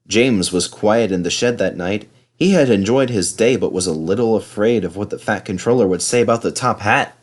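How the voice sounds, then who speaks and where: A man's voice: fairly low pitch, moderate pace, hardly any pauses. A man reading a scripted children's story aloud as narrator. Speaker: storybook reader.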